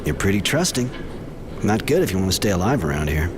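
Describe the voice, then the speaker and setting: An actor playing a tough, streetwise, cynical prisoner in a video game, blunt and wry. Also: male voice, low-pitched, very animated, quick pace. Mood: blunt, warning. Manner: blunt, wry, hard-bitten. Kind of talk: video game cutscene acting. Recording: clearly noisy background ambience.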